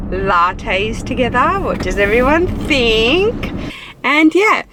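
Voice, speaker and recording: Aussie lady vlogging from the driver's seat, slow chatty rambling, car hum behind. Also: a little high. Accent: Australian. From Australia. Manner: chatty, rambling, upbeat with sudden squeals. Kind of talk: casual chatty vlog. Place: parked car. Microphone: dashboard camera. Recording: noisy car interior.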